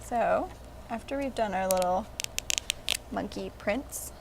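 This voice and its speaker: A woman's voice: mid-range voice, takes it slowly, vocal fry, very noisy. A woman leading a children's library craft, explaining each step simply and in a friendly way.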